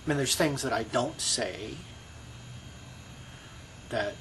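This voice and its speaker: A man's voice: mid-range voice, animated, slow pace, background noise. A middle-aged man with a Brooklyn accent, talking in a rambling, reflective way to his video blog, full of you-knows and restarts. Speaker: video blogger. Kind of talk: casual personal monologue.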